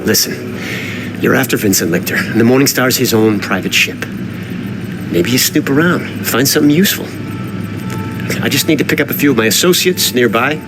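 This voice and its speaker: An actor playing a roguish, persuasive airship pilot in a video game cutscene, talking in a casual way. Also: male voice, mid-range voice, a little fast, noisy background, close to an everyday voice.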